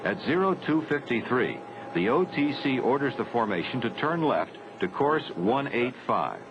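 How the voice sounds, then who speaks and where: A man reading scripted training film narration in a formal, measured, matter-of-fact way. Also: medium pitch, very animated, noisy background. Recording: dull 1970s film soundtrack.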